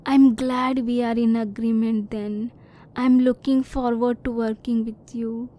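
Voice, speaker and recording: A woman playing a curious, reserved alien scientist in an ASMR roleplay, speaking formally and precisely with measured restraint. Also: on the high side, flat delivery, dark tone, lightly acted. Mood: quietly hopeful.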